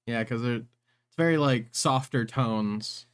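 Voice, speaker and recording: A man's voice: mid-range voice, slow pace, clean tone. A man co-hosting a livestream, chatting casually in an easygoing, agreeable way. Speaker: co-host, streamer's husband. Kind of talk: casual chat.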